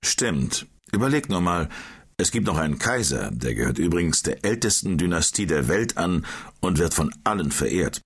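Male, low and a little husky, animated questioner in scripted dialogue. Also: moderate pace. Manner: curious, questioning, lively. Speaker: colleague asking questions in a language-course dialogue.

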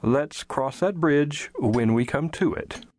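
A man's voice: a little high, slow. An actor playing a curt, dismissive male shop boss in an audio drama, authoritative and coolly self-serving.

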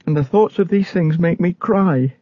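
A man's voice: on the high side, moderate pace, no room sound, phone-quality sound, pitched above the performer's own voice, close to an everyday voice. A man voicing an earnest pilgrim in a storybook, stammering, emotional and imploring. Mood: anguished, fearful. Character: anguished, pleading pilgrim man, earnest and fervent.

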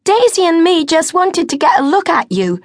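A woman voicing a brash working-class schoolgirl, cheeky and loud. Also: very high-pitched, very animated, moderate pace, dry recording, clean studio sound, pitched above the performer's own voice, heavily acted.

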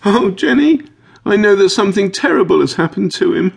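A man's voice: mid-range voice, very animated, moderate pace, pitched above the performer's own voice. A man voicing an anxious, overwrought woman who runs a dog kennel, in a dramatic and fretful audiobook manner. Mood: sobbing, distressed. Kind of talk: audiobook character voice.

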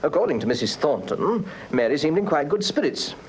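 An actor playing a brisk, businesslike police inspector in a radio crime drama, with a British accent and methodical, matter-of-fact delivery. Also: male voice, fairly high pitch, big pitch swings, a little hiss or hum. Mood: factual, methodical. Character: brisk, businesslike male police inspector, deferential to his chief. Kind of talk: full-cast radio crime drama acting.

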